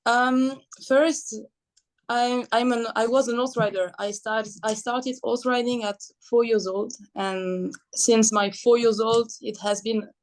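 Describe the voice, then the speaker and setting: A young woman from Belgium, a guest athlete telling her story in a casual, animated interview, laughing often. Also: fairly high pitch, unhurried, rough recording. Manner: animated, laughs often, non-native phrasing.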